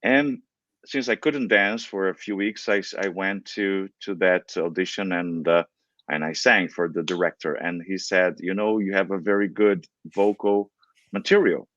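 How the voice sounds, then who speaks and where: A middle-aged man from Brazil whose first language is Portuguese, speaking in a fairly low voice. He talks haltingly, full of fillers and restarts. The sound is muffled and dry, with no room around it. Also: steady tone, a bit bright, no room sound. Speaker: guest opera singer. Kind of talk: relaxed interview talk.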